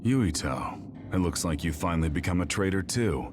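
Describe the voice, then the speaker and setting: A man's voice: deep voice, lively intonation, moderate pace, over music. An actor playing a stern, imposing male former general in a video game. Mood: grim. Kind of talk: scripted video game cutscene acting.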